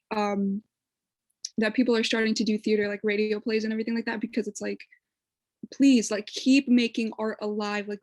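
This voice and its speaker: A woman's voice: mid-range voice, dry recording, studio quality. A young woman from northern New Jersey, a theater club member, speaking with gushing enthusiasm in casual chat.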